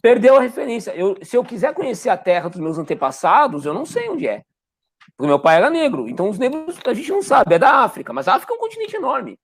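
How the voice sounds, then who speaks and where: A man's voice: very high-pitched, big pitch swings, fast, vocal fry, husky. A man hosting a live-streamed interview. He explains things reflectively and asks rhetorical questions. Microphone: video call.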